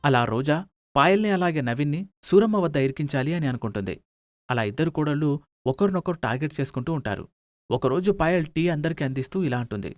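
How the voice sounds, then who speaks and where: A man's voice: fairly high pitch, expressive, fast talker, dry recording, faint music behind. A man narrating a scripted moral story.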